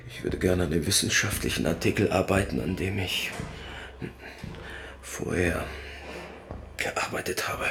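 An actor playing a desperate, angry professor accused of a crime, agitated and halting, in a radio play. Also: male voice, low voice, gravelly, a bit bright, full character voice. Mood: pleading. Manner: agitated, halting, swinging from whisper to outcry. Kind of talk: dramatic radio play acting.

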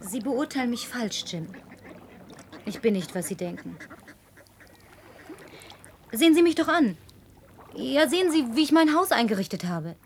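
A woman's voice: a little high, sing-song, a little slow, long pauses, slight background noise. An actress dubbing a spoiled, lonely young city woman who talks in a playful, coaxing, teasing way. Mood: pleading. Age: young adult. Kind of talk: German film dub.

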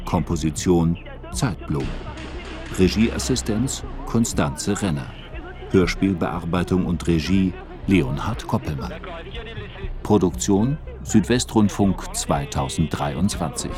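A man's voice: deep voice, animated, slow, over music. A man reading scripted broadcast credits as an announcer, in a matter-of-fact way.

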